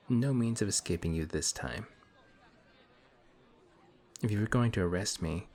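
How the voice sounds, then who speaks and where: A man voicing a suave male villain who teases a hero in an audio roleplay, slow and taunting. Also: fairly low pitch. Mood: mocking. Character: smug suave male villain, teasing and calm. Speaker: voice actor.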